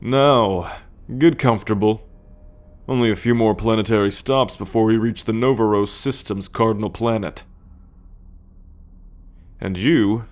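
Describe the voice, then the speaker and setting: Guy doing a sardonic alien captor for asmr, kinda low voice, really slow and deliberate, possessive and mocking. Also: natural voice. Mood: teasing threat. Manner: slow, deliberate, condescending, teasing. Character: menacing, sardonic male alien space captor, coolly possessive. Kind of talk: ASMR roleplay monologue addressed to the listener.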